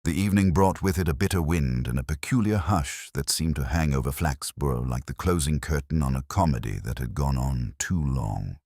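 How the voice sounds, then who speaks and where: A man reading a scripted mystery story in a measured, deliberate, literary manner. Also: extremely low pitch, a little mellow, dry recording, clean studio sound. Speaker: narrator. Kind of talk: scripted audiobook narration of a mystery, acting out dialogue.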